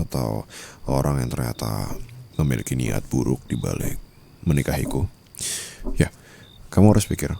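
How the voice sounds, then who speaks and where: A man acts out a dominant, wealthy sugar-daddy husband in an intimate ASMR roleplay. His extremely low voice has a creaky, gravelly edge and an Indonesian accent. He talks softly and possessively, teasing with English pet names. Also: a little hiss or hum, full character voice. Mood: quietly menacing. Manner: soft, slow, mixes in English endearments.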